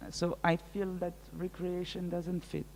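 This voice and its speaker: Woman, native French speaker, slow and hesitant, lots of fillers, reverberant lecture hall. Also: lowish voice, monotone, darkish tone, slight background noise. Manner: hesitant, thinking aloud with many fillers. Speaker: guest lecturer answering audience questions. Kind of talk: live public Q&A.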